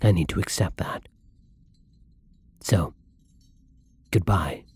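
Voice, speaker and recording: A man playing a lonely, gentle vampire in an intimate ASMR roleplay, speaking softly and haltingly with a wistful tenderness. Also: low voice, breathy edge, no room sound, heavily acted. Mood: sad, resigned.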